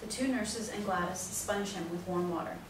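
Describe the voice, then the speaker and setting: A woman's voice: medium pitch, moderate pace. A woman reading stage directions as scripted narration for a play reading. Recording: echoey room, distant mic, noisy.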